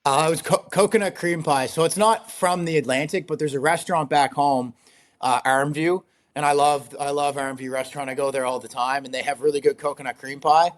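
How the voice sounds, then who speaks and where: Young man, slightly raspy, loose rambling talk, laughs a lot. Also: fairly high pitch, fairly even intonation, brisk. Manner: laughs often, rambling with many fillers. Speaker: guest, ultrarunner. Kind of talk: casual interview.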